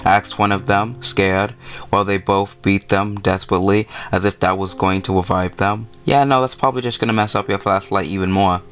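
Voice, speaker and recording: Male gaming host, lowish pitch, informal read-aloud of a visual novel, trips on words and corrects himself. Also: steady tone, a little fast, fairly bright tone. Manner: stumbles over words while reading, correcting himself. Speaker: let's-play host. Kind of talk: reading a visual novel aloud with casual commentary.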